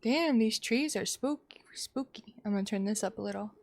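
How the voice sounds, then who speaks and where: A woman's voice: medium pitch, normal speed, darkish tone. A woman live streaming a game, giving casual commentary as she plays. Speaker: live streamer playing a visual novel.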